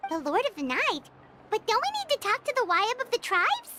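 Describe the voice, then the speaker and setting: A woman voicing a small, childlike game fairy companion with a squeaky, chirpy, inquisitive delivery. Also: wildly swooping pitch, fairly quick, background noise, exaggerated voice. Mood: puzzled. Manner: chatty, inquisitive. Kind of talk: video game character acting.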